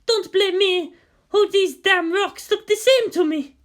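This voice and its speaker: Audiobook mystic woman acted by a narrator: high-pitched, earnest, formal, easily flustered. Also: unhurried, fairly bright tone. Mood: flustered, hurt. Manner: defensive, earnest, slightly formal.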